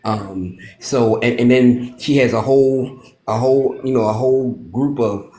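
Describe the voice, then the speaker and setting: Caller, man in his late fifties, halting speech, roomy sound. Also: mid-range voice, dark tone. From Maryland, USA. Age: 50s. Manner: halting, repetitive, with many restarts. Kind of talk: casual live-stream chat.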